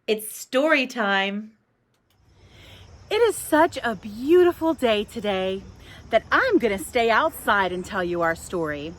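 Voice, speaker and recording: A woman hosting a children's storytime outdoors, telling things in simple, deliberate phrases for small children. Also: medium pitch, animated. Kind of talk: storytelling and guided talk for young children. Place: outdoors in a public park. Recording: outdoor park with faint background noise.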